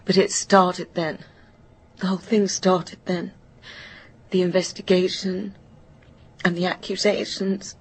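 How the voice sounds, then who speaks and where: A woman's voice: fairly low pitch, little pitch movement, takes it slowly, slightly rough, heavily acted, poor audio. A woman playing a haunted, wistful older woman burdened by guilt, speaking hesitantly and reflectively in a radio drama. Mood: anguished guilt. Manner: hesitant, reflective, breaking into near whispers. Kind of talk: naturalistic radio drama acting.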